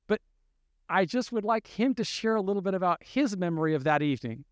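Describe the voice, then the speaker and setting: A man hosting an interview, asking measured, gracious questions of his guest. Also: high-pitched, lively intonation, dry recording, clean studio sound. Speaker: interview host. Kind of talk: conversational interview.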